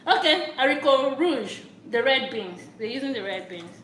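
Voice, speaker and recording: A woman's voice: high voice, lively intonation, moderate pace. Chatty Nigerian woman in an echoey kitchen. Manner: chatty, laughs often, stumbles over words. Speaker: cooking vlogger. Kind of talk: casual cooking chat. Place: home kitchen. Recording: echoey kitchen with faint noise.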